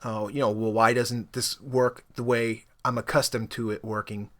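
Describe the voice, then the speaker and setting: A man's voice: mid-range voice, little pitch movement, normal speed. A young man whose first language is Spanish, talking casually to camera about learning languages, rambling with lots of fillers. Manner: conversational, rambling with frequent fillers. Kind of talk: casual opinion monologue.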